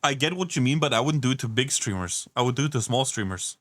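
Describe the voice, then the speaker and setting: A man's voice: medium pitch, expressive, fast, a bit bright, no echo, studio quality. A man doing casual reaction commentary, incredulous and rambling, swearing often. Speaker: reaction streamer.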